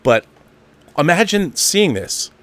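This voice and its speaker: A man's voice: fairly high pitch, sing-song, slow, a bit bright. A man in his fifties hosting an online show, talking off the cuff in a casual, emphatic fan monologue and repeating phrases for stress. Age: 50s.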